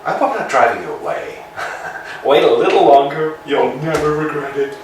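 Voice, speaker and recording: A man doing a grandiose, self-important landowner who lords it over everyone, his voice leaping from booming commands down to fussy, muttered asides. The pitch sits a touch high and swoops widely. It sounds recorded from across a bare, echoey room. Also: lively intonation, normal speed, a little hiss or hum, lightly acted. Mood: pompous. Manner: grandiose, theatrical, swings between bluster and murmurs. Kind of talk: staged play reading. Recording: echoey room, mic far off.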